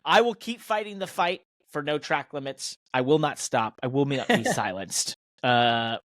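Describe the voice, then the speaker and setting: A man's voice: medium pitch, lively intonation, moderate pace, a bit bright, dry recording, studio quality. An American man chatting casually as a motorsport podcast co-host, speaking in an emphatic, opinionated way. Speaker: podcast co-host and professional racing driver.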